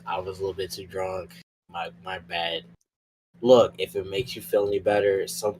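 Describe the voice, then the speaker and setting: A man's voice: on the low side, fairly bright tone, slight background noise, natural voice, poor audio. A man playing a cocky, hot-headed mercenary in improvised roleplay, rambling and slangy.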